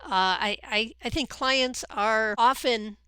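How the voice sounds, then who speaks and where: A woman's voice: a little high, takes it slowly, sharp tone, clear, resonant tone, no room sound, clean studio sound. A woman hosting a podcast interview, talking with enthusiasm and often repeating words as she thinks. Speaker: podcast host. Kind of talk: conversational interview.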